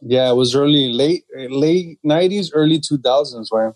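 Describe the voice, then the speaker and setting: A man's voice: medium pitch, normal speed. Joking laid-back Chicano man. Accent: Chicano English, Los Angeles. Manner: joking, laid-back, drops Spanish slang. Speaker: podcast co-host. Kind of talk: casual chat.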